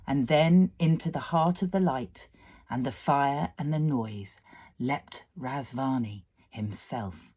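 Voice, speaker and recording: A woman narrating a story expressively, with a dramatic and deliberate delivery. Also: sits low, mellow, breathy edge.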